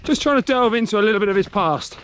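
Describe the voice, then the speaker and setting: A man's voice: sits high, animated, quick pace, fairly bright tone, slight background noise. A man with an East Midlands English accent hosting a casual, encouraging chat while running outdoors on a park trail. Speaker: host interviewing a club runner.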